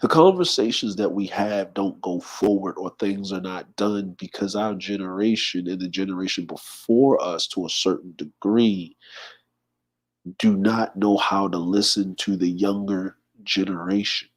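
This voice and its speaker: 40 yr old guy chatting on his livestream, lowish voice, laid back, shouting out the chat. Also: a little slow. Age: 40s. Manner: relaxed, shouts out viewers and reads comments aloud. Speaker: livestream host.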